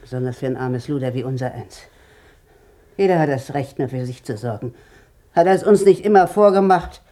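Brazen, dark-voiced thieving laundress. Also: female voice, extremely low pitch, brisk. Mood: defiant. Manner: coarse, defiant. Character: brazen, grasping, poor laundress and thief. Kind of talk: 1960s German radio drama acting. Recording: 1960s radio recording, faint noise.

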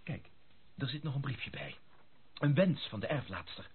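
An excitable young gentleman in a radio drama. His voice is husky and leaps up and down as he exclaims and stumbles over his words. The recording is dull and lo-fi. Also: mid-range voice, moderate pace. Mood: agitated, troubled. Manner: effusive, exclamatory, stammering when agitated. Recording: muffled lo-fi radio play recording.

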